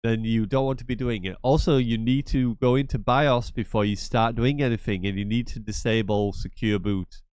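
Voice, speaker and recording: A man's voice: medium pitch, steady tone, moderate pace, hardly any pauses, a little mellow, clear, resonant tone, dry recording. A man with a cold and a blocked, nasal voice, chatting casually as he walks through a gaming tutorial. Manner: chatty, repetitive, rambling asides. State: congested from a cold. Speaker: gaming channel host. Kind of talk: casual screen-share tutorial.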